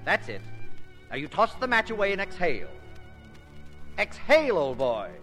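An actor playing a fussy, affected silent-film director with clipped, theatrical orders, in 1950s radio comedy style. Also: male voice, high voice, huge pitch swings, moderate pace, pushed and bright, full character voice. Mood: brisk, condescending. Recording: old radio broadcast, reverberant stage, faint music.

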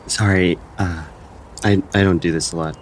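A man's voice: low-pitched, steady tone, noisy background, close to an everyday voice. An actor playing an awkward, apologetic young man who stammers and hesitates, in a naturalistic fiction podcast. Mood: nervous, hesitant. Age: young adult. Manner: halting, stammering, tentative.

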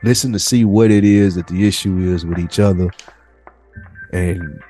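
Man, lower-pitched, slangy storyteller, casual podcast chat, light music underneath. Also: steady tone, moderate pace, dark tone. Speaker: podcast co-host. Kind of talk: casual conversation.

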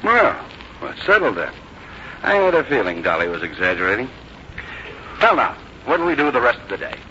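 A man's voice: medium pitch, big pitch swings, moderate pace, bright, forceful tone. An actor playing a middle-aged son who fusses over his mother in a folksy, solicitous, hesitant way, in 1950s radio drama style. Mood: relieved. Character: fussing, reassuring grown son, fond of his mother. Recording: dull, noisy 1950s radio recording.

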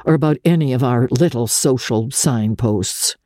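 A woman voicing a proud, well-off gentleman in a novel, lofty and evasive. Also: extremely low pitch, moderate pace, no room sound, studio quality. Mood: secretly proud.

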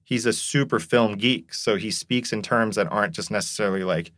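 A man's voice: lowish voice, fairly quick, a bit bright, dry recording, studio quality. A man who grew up in Korea, a podcast guest chatting casually and rambling with lots of filler words. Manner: rambling, heavy use of "like" and "you know". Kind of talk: casual conversation.

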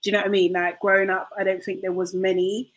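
A woman's voice: medium pitch, level tone, fast talker. A woman co-hosting a casual discussion, speaking thoughtfully and hesitantly, with many fillers. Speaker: podcast co-host.

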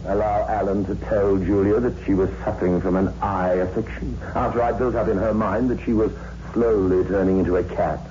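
Radio suspense villain male actor: scheming, greedy brother, low and mellow, condescending, on a muffled old recording. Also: fairly even intonation, brisk, few pauses, slight background noise, close to an everyday voice. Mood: cold, dismissive. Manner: smooth, condescending, dismissive. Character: cold, greedy murderous brother, scheming and controlling. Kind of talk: 1960s suspense radio drama acting.

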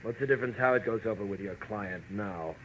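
An actor playing a cool, smug, crooked private eye in a 1940s radio noir, taunting with mock politeness. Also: male voice, lowish voice, brisk, fairly bright tone, close to an everyday voice. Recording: noisy vintage radio recording.

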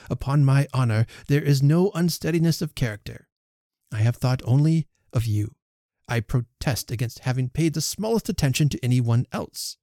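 Audiobook: vain, affronted young parson, as a male narrator puts him on, gushing then haughty, gravelly edge, dry sound. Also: mid-range voice, mellow, no echo, pitched above the performer's own voice, close to an everyday voice. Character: pompous, affronted young clergyman suitor.

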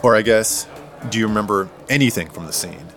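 An actor playing a matter-of-fact police detective in an audio drama, asking direct questions in a dry, natural way. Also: male voice, fairly low pitch, fairly quick, fairly bright tone, faint music behind. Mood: probing, matter-of-fact.

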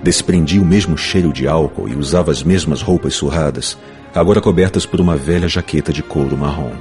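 A man's voice: a bass voice, a little fast, darkish tone. A man narrating a fantasy novel in a dramatic, scripted audiobook style. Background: music.

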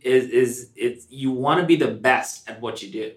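A man's voice: medium pitch, normal speed, a bit bright. A man co-hosting a podcast in a conversational way, speaking emphatically and checking in with rhetorical questions. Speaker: podcast co-host, company executive. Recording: roomy, echoey room sound.

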